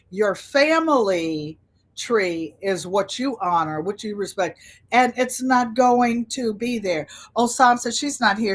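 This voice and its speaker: A woman hosting a casual livestream chat in an unhurried, rambling way, drifting between her own talk and replies to viewers. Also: medium pitch. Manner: unhurried, rambling, easily sidetracked. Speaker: livestream host, quilter.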